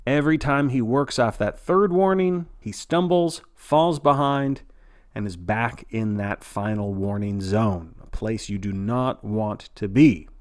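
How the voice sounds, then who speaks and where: A man playing an earnest, folksy sports broadcaster, giving scripted play-by-play with a dramatic announcer delivery and suspenseful pauses. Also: fairly low pitch, no room sound, studio quality, close to an everyday voice. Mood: concerned. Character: earnest, folksy male sports broadcaster narrating a grim contest.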